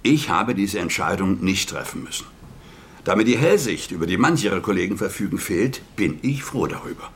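A formal, sardonic government spokesman, fielding hostile questions at a press conference. His voice sits a little low and stays measured and bureaucratic. A faint noise runs under the recording. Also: animated. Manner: formal, curt, sardonic with reporters. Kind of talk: radio drama acting.

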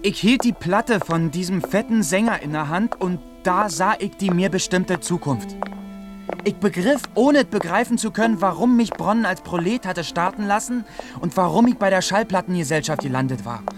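An idealistic young working-class man in a radio drama. His voice is high for a man, with clear music behind it. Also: animated, normal speed. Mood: earnest. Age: young adult.

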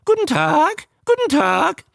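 A man voicing a talking giant salamander in an audiobook, with a croaking, squawky voice, childlike, polite and eagerly parroting what people say. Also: very high-pitched, huge pitch swings, slow pace, a bit bright, dry recording, clean studio sound, pitched above the performer's own voice, full character voice. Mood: eager, chattering. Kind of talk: audiobook character voice.

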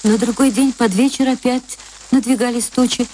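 A woman reading literary prose as a radio narrator, in an expressive, measured storytelling manner. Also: a little high, a little hiss or hum. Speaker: narrator, stage actress.

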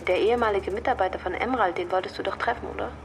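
A woman's voice: mid-range voice, racing, a little hiss or hum, natural voice. An actress playing a lonely, teasing young woman who misses her travelling partner, speaking casually and familiarly in a radio drama. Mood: teasing, stressed.

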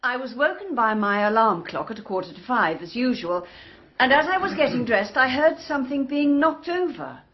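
A woman's voice: a little high, animated, moderate pace, lightly acted. An actress with a British accent playing a self-assured, guarded married woman giving evidence in a radio drama, composed and reserved. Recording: dull, band-limited radio drama recording.

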